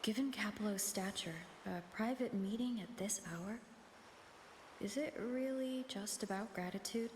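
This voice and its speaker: An actress playing a composed, reflective heroine in a video game, speaking in a measured, thoughtful, formal way. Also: female voice, medium pitch, slight background noise, natural voice. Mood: pensive. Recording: background music under the voice.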